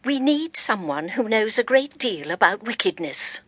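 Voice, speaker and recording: An actress playing a forthright, eccentric English vicar's wife in an audio drama, emphatic, blunt and matter-of-fact. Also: female voice, medium pitch, very animated, moderate pace, fairly bright tone, husky. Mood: urgent, insistent.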